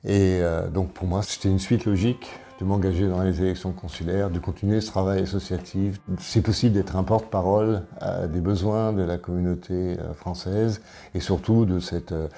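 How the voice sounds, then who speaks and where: A man of sixty-two from Paris, presenting himself as an election candidate in an interview, speaking in a halting, deliberate way. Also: low voice, steady tone, vocal fry, dark tone. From Paris, France. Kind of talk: interview answers, self-presentation.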